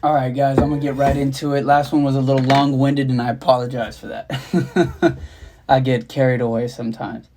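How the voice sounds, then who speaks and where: A man's voice: mid-range voice, little pitch movement, normal speed, background noise. A young man hosting a casual livestream, rambling loosely, laughing at himself and swearing offhandedly from his home studio. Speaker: rapper and beatmaker, livestream host.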